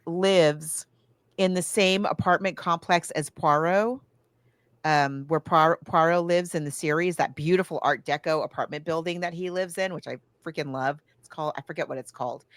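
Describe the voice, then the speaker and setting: A woman with a slightly low voice talks through a film in a casual podcast style. She is recorded dry, with little room sound. Her delivery is halting, circling back and thinking aloud as she retells the story. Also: no echo. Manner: acts out characters' lines in casual asides. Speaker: podcast co-host. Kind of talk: casual film recap chat.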